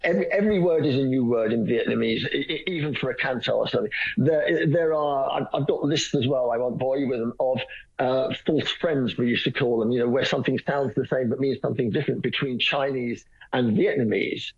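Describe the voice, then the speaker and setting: A man's voice: medium pitch, brisk, gravelly, slightly rough. A man being interviewed, rambling and hesitant, with many restarts and a dry self-mocking humor. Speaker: guest. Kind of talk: phone-in radio interview. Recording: muffled lo-fi line.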